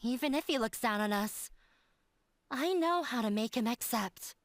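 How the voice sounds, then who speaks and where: A woman's voice: fairly high pitch, animated, normal speed. A woman playing a resolute young woman in an anime video game, with an earnest, determined delivery. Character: resolute young woman defying her stern father.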